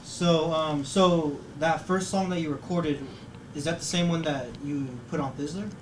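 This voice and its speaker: A man's voice: on the high side, moderate pace. Laid-back male interviewer, distant mic. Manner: relaxed, hesitant with fillers. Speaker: interviewer host. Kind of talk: casual interview questions. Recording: distant mic with clear background noise.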